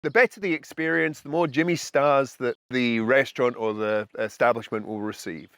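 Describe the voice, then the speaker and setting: A man's voice: mid-range voice, normal speed, a bit bright, clear, resonant tone, no echo, studio quality. A middle-aged man with a Scottish accent, giving a casual, explanatory talk to camera as a channel host. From Scotland. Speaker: host of a food and travel channel. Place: outdoors on a house balcony.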